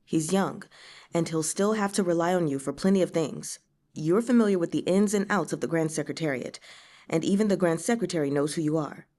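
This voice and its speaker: A woman's voice: on the low side, a little fast, mellow, natural voice. A woman voicing a shrewd young nobleman in a storybook, assured, dismissive and dryly reassuring.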